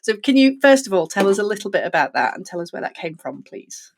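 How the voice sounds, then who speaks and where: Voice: mid-range voice, very animated, fast talker. A podcast host asking polite interview questions.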